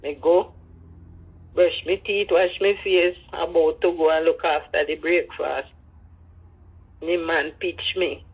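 Older jamaican lady, husky, telling her life story in patois and doing the dialogue. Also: low voice, fairly even intonation, slow pace, long pauses, narrow, tinny audio. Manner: speaks in patois, acts out dialogue. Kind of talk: personal storytelling.

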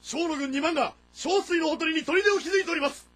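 Male messenger, historical war game: formal urgent reporting, very high, sharp voice. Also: brisk. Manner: formal, projected military report. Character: urgent army scout reporting to his commander.

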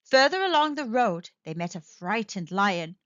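A woman reading a children's story aloud as narrator, in animated storytelling style. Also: medium pitch, normal speed, no echo, lo-fi sound.

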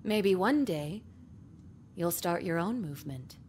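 A jaded counselor in her thirties from a visual novel. Her voice sits low and moves around a lot as she delivers cool cynicism, over noticeable background noise. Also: expressive, slow, darkish tone. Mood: coolly cynical. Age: 30s. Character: jaded 39-year-old woman school counselor, coolly cynical.

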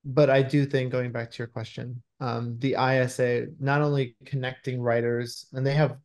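A man's voice: medium pitch, little pitch movement, moderate pace, darkish tone. A man in his thirties speaking casually as an interview guest on a video call, often searching for his words. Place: small room at home, indoors.

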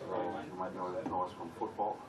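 A man from Germany with a German accent, a relaxed, joking conference panelist. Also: low voice, brisk. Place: large conference hall with audience. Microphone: stage microphone heard from far off. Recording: echoey hall, distant, loud crowd.

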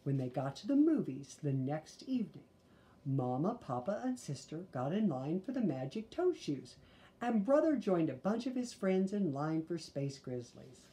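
A woman's voice: low-pitched, big pitch swings, moderate pace, dark tone. A woman in her sixties reading a children's story aloud expressively, with small asides to listeners, in a living room. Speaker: storybook reader. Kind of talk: children's read-aloud narration.

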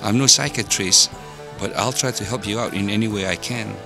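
A laid-back, supportive music manager in an animated motion comic. His lowish male voice is casual and measured. Music is heard behind him. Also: gravelly. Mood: calm, sympathetic.

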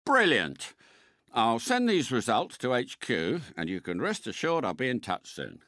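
An actor playing a suave, courteous British spy car in a video game, a polite and unflappable mentor with refined, precise phrasing. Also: male voice, medium pitch, huge pitch swings, unhurried, a bit bright, no room sound, studio quality. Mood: pleased. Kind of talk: scripted game character voice lines.

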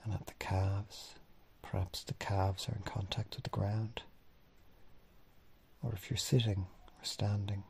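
A man leading a guided body scan meditation in gently instructing, unhurried phrases with long pauses, his husky, breathy voice often trailing off into a near-whisper. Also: lowish voice, flat delivery, slight background noise. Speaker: mindfulness meditation teacher.

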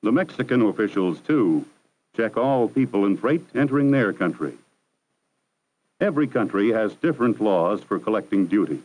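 A man narrating a 1960s educational film in a measured, formal, instructive manner. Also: fairly low pitch, animated, a little mellow, rough recording. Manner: measured, formal, didactic delivery. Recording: 1960s archival film soundtrack.

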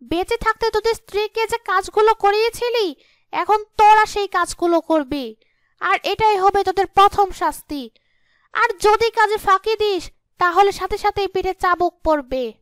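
A woman's voice: very high-pitched, normal speed, no echo, voice pushed up. A woman voicing a bossy, threatening female ghost in a cartoon fairy tale, scolding and giving orders. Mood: menacing, scolding. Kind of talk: Bengali cartoon fairy-tale character acting.

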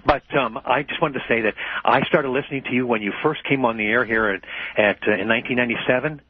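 Chatty male caller, husky voice. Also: mid-range voice, fast, no room sound. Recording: muffled radio call-in audio.

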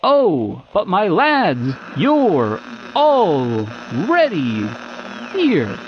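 A man's voice: sits high, wildly swooping pitch, extremely slow, background noise, exaggerated voice. A man playing a flamboyant, verbose eldritch demon showman, grandiose and theatrical, in a comedy audio sketch. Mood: grandiose, instructing. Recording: dull, band-limited lo-fi sound.

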